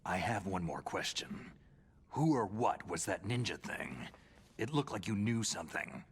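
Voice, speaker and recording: An actor playing a hard-nosed soldier on a mission in a dramatic video game, asking blunt, clipped questions. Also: male voice, deep voice, lively intonation, measured pace, slightly rough, heavily acted. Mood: blunt, probing.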